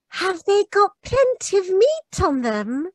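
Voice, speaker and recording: A woman's voice: very high voice, huge pitch swings, very slow, dry recording, studio quality, exaggerated voice. A woman playing a sweet, earnest little girl in a radio play, with an artless, careful manner. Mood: innocent, earnest. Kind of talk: old-time radio mystery acting.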